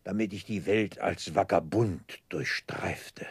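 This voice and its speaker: An actor playing a pompous, theatrical middle-aged debtor clerk in despair, declaiming in florid oratory in a 1960s radio play. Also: male voice, low voice, slow pace, vocal fry, slightly rough, dry recording, full character voice. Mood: agitated despair.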